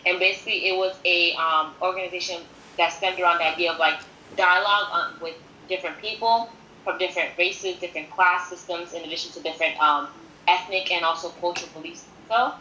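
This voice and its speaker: Podcast guest: woman in her twenties, lowish bright voice, earnest interview delivery, echoey room. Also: steady tone, fast. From born in Haiti, grew up in New York City from age five. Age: 20s. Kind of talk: conversational interview, personal account. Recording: roomy echo with faint background noise.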